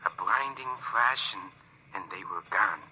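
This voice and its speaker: A man's voice: fairly low pitch, unhurried, breathy edge, full character voice. A man playing a sober, compassionate male alien crewmate through a radio filter, in 1950s radio acting style. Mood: sober regret. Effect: radio transmission filter. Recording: very sharp, noisy overheard radio transmission.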